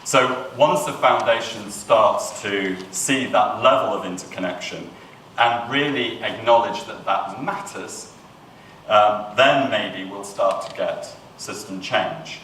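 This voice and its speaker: Man, conference speaker, lowish voice, brisk and earnest, distant mic. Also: fairly bright tone, roomy sound, a little hiss or hum. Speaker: panel speaker. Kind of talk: conference talk. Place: conference room.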